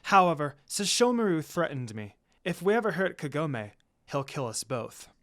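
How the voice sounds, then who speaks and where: Someone reading as a calm soft young woman, loving but scheming, anime fanfic. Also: medium pitch, normal speed. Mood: calm, explaining.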